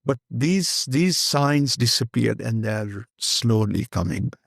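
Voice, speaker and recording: A man's voice: mid-range voice, animated, takes it slowly, vocal fry, dry recording, clean studio sound. An older man with an Indian accent, a guest speaking candidly and rambling in an interview, slipping Hindi phrases into his English. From Kenya.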